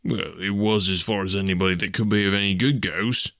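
A man's voice: low voice, brisk, gravelly, fairly bright tone, no room sound, studio quality. A man voicing a simple, wary police constable from a storybook, grumbling in uneducated dialect phrasing. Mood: recounting.

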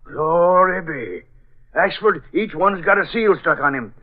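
Sardonic cop on tinny radio. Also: male voice, high voice, expressive, slow pace, exaggerated voice. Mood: matter-of-fact. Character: gruff, sardonic American police sergeant. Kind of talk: 1940s radio acting. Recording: tinny 1940s radio recording.

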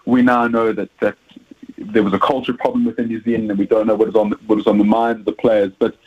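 A man's voice: fairly low pitch, little pitch movement, fast, breathy edge. A South African man, a rugby journalist, answering interview questions with frequent hesitations and repeated words. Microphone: phone. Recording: tinny phone line.

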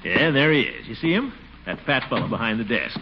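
Casting: put-upon, pompous American commissioner for vintage radio comedy; swooping delivery, muffled noisy recording. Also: male voice, medium pitch, sing-song, brisk. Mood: fussing, muttering. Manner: exasperated, pompous bluster, muttering and chuckling. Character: blustery, put-upon middle-aged commissioner saddled with a baby.

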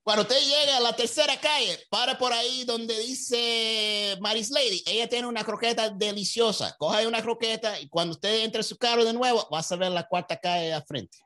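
A man's voice: extremely high pitch, fast, bright, forceful tone, pitched above the performer's own voice. A man putting on a chatty Cuban man with a Cuban Spanish accent who gives rambling, gossipy street directions.

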